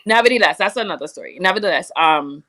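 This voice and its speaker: A woman's voice: lowish voice, expressive, fast talker, a bit bright. A young woman from Trinidad with a Trinidadian accent, chatting casually as an interview guest, rambling with lots of fillers. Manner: rambling, many fillers, laughs often. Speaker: soca singer, guest.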